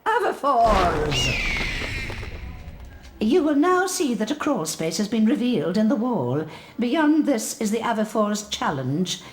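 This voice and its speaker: A woman's voice: on the high side, sing-song, takes it slowly, slight background noise. An actress playing a stern, precise transfiguration professor witch in a video game, formal and exact.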